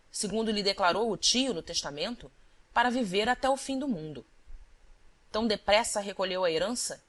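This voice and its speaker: A woman reading scripted literary narration as an audiobook narrator, with a measured, deliberate storytelling delivery. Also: mid-range voice, fairly bright tone.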